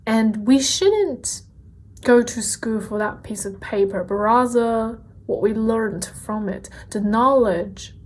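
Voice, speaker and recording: A woman whose first language is not English, giving a gentle, encouraging tarot card reading and repeating key phrases. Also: a little high, expressive, slow pace, husky. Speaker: tarot reader.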